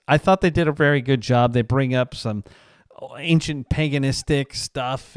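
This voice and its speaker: A man's voice: medium pitch, clear, resonant tone, dry recording, studio quality. A man hosting a casual solo review, talking in an informal, enthusiastic, gushing way. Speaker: reviewer, show host.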